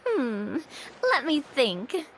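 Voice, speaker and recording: A woman's voice: high voice, big pitch swings, very slow, over music, full character voice. A woman voicing a cheerful, playful, eager young woman in a video game, casual and chirpy.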